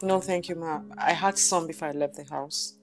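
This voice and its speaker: An actress playing a humble, apologetic former daughter-in-law, deferential and hesitant. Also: female voice, low-pitched, fairly even intonation, normal speed, soft background music, lightly acted. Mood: contrite, hesitant. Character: humble, apologetic woman visiting her former mother-in-law. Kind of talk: film drama acting.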